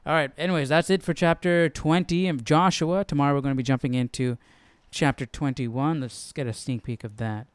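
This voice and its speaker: A man's voice: a little high, fairly even intonation, brisk, few pauses, smooth, no room sound. A man hosting a Bible study, explaining casually and tentatively as he thinks aloud, often checking himself. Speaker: host of a Bible study stream.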